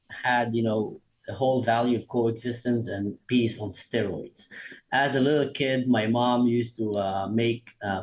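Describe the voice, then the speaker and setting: A man from Israel with an Israeli accent, whose first language is Arabic, telling stories as an interview guest in a lively, quick way with many restarts. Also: medium pitch, poor audio. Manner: animated, fast storytelling with many restarts. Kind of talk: conversational interview.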